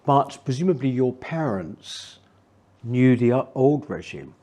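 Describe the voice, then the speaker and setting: A man's voice: medium pitch, expressive, a little slow, dark tone. An older man hosting an interview, asking questions with frequent hesitant restarts. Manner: hesitant, many restarts and affirmations. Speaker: interview host.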